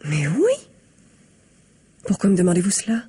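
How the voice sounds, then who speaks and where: Guarded wife, dark husky voice. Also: female voice, fairly low pitch, very animated, exaggerated voice. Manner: measured, formal, carefully articulate. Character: composed, guarded married woman defending her alibi. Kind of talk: French radio mystery play acting.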